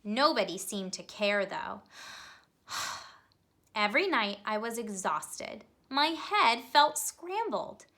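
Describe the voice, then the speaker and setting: Woman, children's storybook reader, bright tone, slightly high, expressive, questions to listeners. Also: slow. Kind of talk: children's read-aloud.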